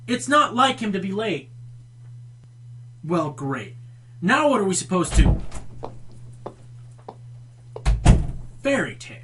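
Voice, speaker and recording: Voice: medium pitch, background noise. An actor playing an exasperated pony radio show host in a comedic radio play, theatrical, incredulous and sarcastic. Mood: showy, impatient.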